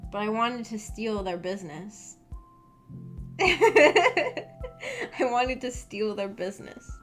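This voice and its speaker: A woman's voice: fairly high pitch, expressive, takes it slowly. A woman streamer chatting casually to viewers, telling personal stories in a rambling, self-deprecating, hesitant and giggly way. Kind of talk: casual livestream chat and personal storytelling. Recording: background music under the voice. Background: background music.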